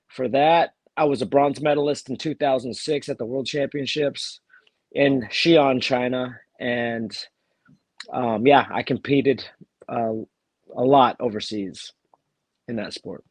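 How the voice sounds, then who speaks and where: A fighter from Texas, talking casually as an interview guest while nursing a cold, with many fillers and restarts. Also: male voice, medium pitch, fairly even intonation, takes it slowly, husky, no echo. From Texas, USA. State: has a cold. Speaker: bare-knuckle fighter, guest.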